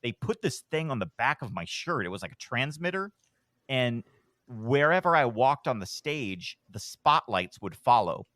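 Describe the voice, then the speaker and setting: Podcast guest, deep-voiced man, middle-aged, relaxed and self-deprecating, dry close mic. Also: moderate pace, no echo. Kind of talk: casual storytelling.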